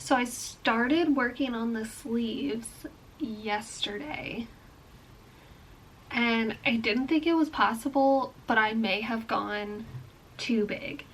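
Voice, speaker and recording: A woman's voice: a little high, slow, slight background noise, low-quality recording. A young woman talking casually while she crochets, thinking aloud with lots of "like" and "um", her voice a bit stuffy from a bad cold. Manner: chatty, thinking aloud, frequent "like" and "um". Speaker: crochet vlogger. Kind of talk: casual explaining while crafting.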